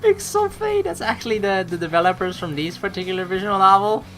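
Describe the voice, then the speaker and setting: A woman giving casual, excitable gameplay commentary in an informal way, swearing freely. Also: mid-range voice, a little fast, noisy background. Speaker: let's play streamer. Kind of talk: casual gameplay commentary, reading game text aloud.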